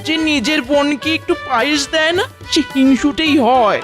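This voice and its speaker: A woman's voice: high voice, normal speed, lightly acted. A woman voicing a greedy, teasing old granny, the younger sister, in a cartoon. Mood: taunting. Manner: whiny, taunting. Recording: cartoon voice over music.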